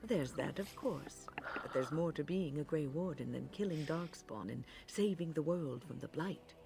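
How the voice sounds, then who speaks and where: An actor voicing a wise, earnest mentor in a fantasy video game, speaking in a measured, solemn, lecturing way. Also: male voice, on the high side, lively intonation, vocal fry, music in the background. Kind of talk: scripted video game dialogue.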